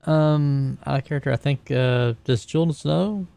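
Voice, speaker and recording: A man's voice: a little high, a little slow, creaky, mellow, clean tone, no echo. A man talking casually and hesitantly as a player improvising in a tabletop roleplaying game.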